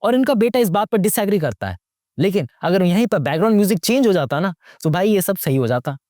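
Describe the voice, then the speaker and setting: A young man delivers mocking, slangy movie commentary. His voice sits a little high and swings widely in pitch as he fires off rhetorical questions. The sound is dry, with very little room around it. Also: very animated, quick pace, darkish tone, dry recording. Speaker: YouTube movie reviewer. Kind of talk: casual, sarcastic movie commentary.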